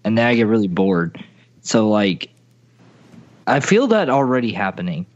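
A man's voice: on the low side, sing-song, normal speed, muffled recording. A man co-hosting a gaming podcast, rambling and poking fun at himself as he tells his stories. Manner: rambling, self-mocking, full of restarts. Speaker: podcast co-host. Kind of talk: casual gaming podcast chat.

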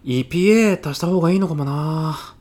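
A man's voice: a little high, a little fast, darkish tone, lightly acted. A man playing a mild, self-effacing classmate in his thirties with a sly salesman's streak, speaking politely in a comedy radio drama. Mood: casually expert. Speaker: actor in an audio comedy sketch.

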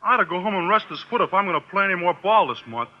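An actor playing a dim-witted, earnest American high school football player, plaintive and literal, in an old radio comedy. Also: male voice, lively intonation, rapid-fire. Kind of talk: 1940s-50s American radio comedy acting. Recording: noisy, muffled old-time radio recording.

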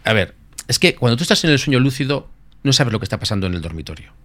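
A man from talks quickly and eagerly, piling on asides as he explains. His voice sits at a middle pitch. The sound is dry and close, with no room echo. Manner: fast, animated explaining with many asides. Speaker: guest, lucid dreaming expert. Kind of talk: podcast interview explanation.

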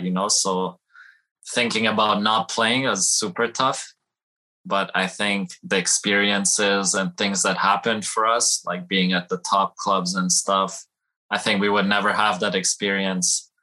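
Low, flat-voiced man, relaxed and rambling. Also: low-quality recording. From Iran. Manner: relaxed, rambling, many fillers like "you know" and "uh". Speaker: football technical trainer, guest. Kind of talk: casual interview answers.

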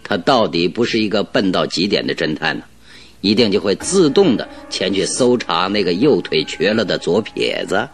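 A man's voice: low-pitched, sing-song, moderate pace, faint music behind. A man acting in a radio drama as a confident, teasing master detective, with a theatrical, self-assured delivery. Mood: amused, teasing.

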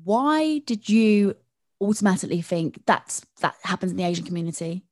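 A woman's voice: mid-range voice, expressive, normal speed, darkish tone, dry recording, clean studio sound. A woman interviewing a guest, asking probing questions and listening attentively. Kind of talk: interview conversation.

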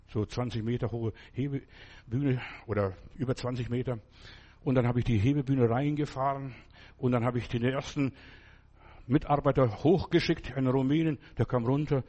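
A man's voice: a little high, a little mellow, slightly rough. An older man preaching a sermon as pastor, German with a Lithuanian accent, emphatic and speaking straight to the listener. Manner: emphatic, addresses listener directly. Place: church hall.

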